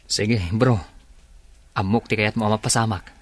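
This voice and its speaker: A man's voice: fairly low pitch, steady tone, normal speed, dry recording, close to an everyday voice. A man with an Ilocano accent playing a loyal, supportive young friend in a Filipino radio drama.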